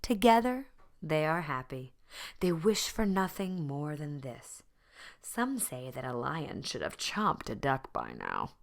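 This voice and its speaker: A woman reading a children's story aloud in expressive narration, with deliberate phrasing and dramatic pauses. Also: sits low. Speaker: storybook reader.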